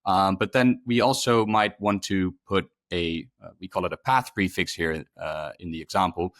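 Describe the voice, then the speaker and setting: A man with a low voice explains technical material in a webinar. He speaks off the cuff, halting and doubling back on words as he goes. The sound is dry and close. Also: fairly even intonation, fairly bright tone, dry recording. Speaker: presenter, product specialist.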